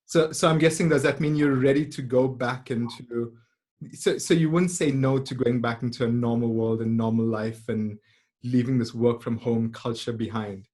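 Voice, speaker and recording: A man's voice: medium pitch, fairly even intonation, moderate pace, darkish tone. A man hosting a webinar interview, asking friendly questions and often repeating his words. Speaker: webinar host. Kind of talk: casual interview. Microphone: video call.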